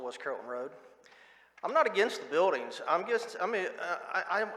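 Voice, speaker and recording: An older man testifying as a resident at a public hearing, blunt and halting, repeating words as he goes. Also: a little high, a bit bright. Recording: echoey hall with long reverb.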